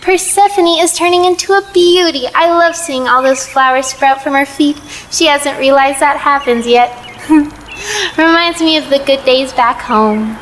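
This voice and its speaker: A woman's voice: sits high, unhurried, a little hiss or hum. A woman playing a sweet, forgetful old crone in an audio play, fussy and chirpy. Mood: sweet, fretful. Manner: chirpy, fussy, gentle endearments.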